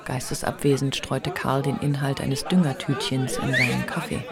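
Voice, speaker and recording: Radio drama narrator, literary scripted prose, medium pitch, busy crowd behind. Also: level tone, moderate pace.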